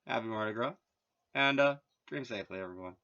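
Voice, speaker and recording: A man's voice: medium pitch, brisk, sharp tone, muffled recording. A man from Massachusetts talking in a rambling, casual monologue, full of filler words and wry asides. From Massachusetts, USA. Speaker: vlogger.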